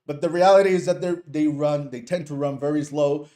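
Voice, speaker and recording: A man's voice: fairly high pitch, steady tone, fairly quick, mellow. Hesitant man teaching live. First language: Spanish. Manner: hesitant, many fillers and restarts, friendly asides. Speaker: course instructor and streamer. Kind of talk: casual live-stream teaching.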